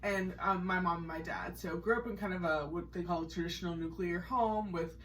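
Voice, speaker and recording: A woman's voice: on the low side, fairly even intonation, brisk, a bit bright, recorded from a distance, slight background noise. An American woman co-hosting a podcast, telling her personal story in a casual, chatty, conversational way. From Massachusetts, United States.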